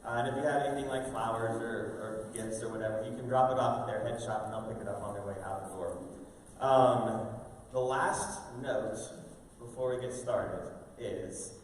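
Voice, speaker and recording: A man hosting a live show, giving an informal, halting welcome speech to an audience with drawn-out pauses. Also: mid-range voice, steady tone. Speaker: host, school fine arts director introducing a show. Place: large indoor room with stage and audience tables. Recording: very echoey hall, distant mic, faint noise.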